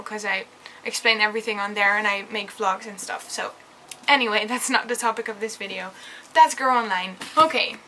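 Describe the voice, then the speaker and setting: A woman's voice: mid-range voice, bright, forceful tone, slight background noise, low-quality recording. A young woman from the Netherlands with a Dutch accent, chatting enthusiastically in a casual book review vlog with lots of fillers. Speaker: vlogger.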